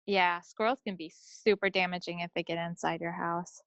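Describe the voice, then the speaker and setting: A woman's voice: on the low side, little pitch movement, normal speed, a bit bright, no echo, muffled recording. A woman co-hosting a podcast in a casual, folksy and chatty way. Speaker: podcast co-host. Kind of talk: casual chat.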